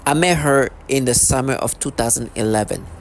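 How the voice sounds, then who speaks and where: A man's voice: mid-range voice, brisk, a little hiss or hum. A man in his thirties talking in a rambling, repetitive, agitated monologue as a vlogger. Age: 30s. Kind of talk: unscripted monologue to camera.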